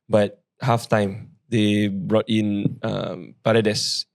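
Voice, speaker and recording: A man hosting a casual football talk show, his voice hoarse from shouting, often jumping in on his co-hosts. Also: lowish voice, little pitch movement, very slow, no echo, clean studio sound. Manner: chatty, interjects often. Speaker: podcast host.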